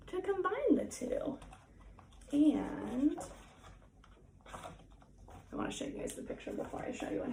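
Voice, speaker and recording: A woman reading a picture book aloud to children, expressive and engaged, with chatty asides. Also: high-pitched, creaky. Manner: expressive, engaged with young listeners. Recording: echoey room, faint background noise.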